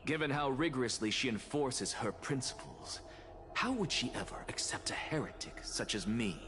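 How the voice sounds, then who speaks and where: A man voicing a haughty heretic scholar in a video game, speaking in an eloquent, measured, condescending way. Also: mid-range voice, lively intonation, noisy background, lightly acted. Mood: dry disdain. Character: haughty male heretic scholar and academy leader.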